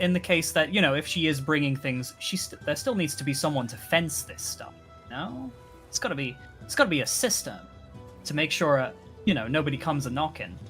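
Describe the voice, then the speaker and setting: Hesitant, bright-voiced man playing tabletop. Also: fairly high pitch. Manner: hesitant, pieces ideas together aloud. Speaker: tabletop game player. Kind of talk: casual chat. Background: faint music.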